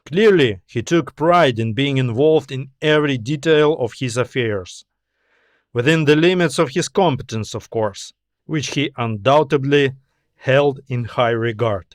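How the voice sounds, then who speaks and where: A man's voice: mid-range voice, a little slow, no room sound, clean studio sound. A man narrating science fiction as an audiobook, reading scripted prose as the story's author.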